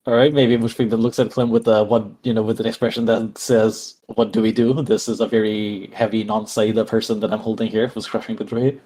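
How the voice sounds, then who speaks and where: A man's voice: lowish voice, flat delivery, fairly quick, few pauses, warm tone, breathy edge. A man playing a tabletop roleplaying game, improvising his character's actions as he thinks aloud, hesitantly.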